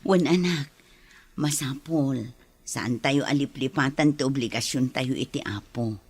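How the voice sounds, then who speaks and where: A woman acting a gentle, devout mother in an Ilocano radio drama, speaking patiently and soothingly. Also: rumbling low, measured pace, breathy edge, full character voice. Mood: gentle, pious. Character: gentle, devout young mother of a small child.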